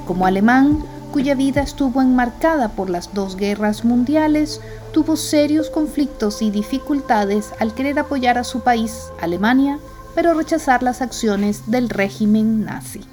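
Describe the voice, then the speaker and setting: A woman hosting a radio astronomy program, reading scripted segments in a clear, measured way and interviewing a guest. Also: a little high. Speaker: radio show host. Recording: music bed behind voice.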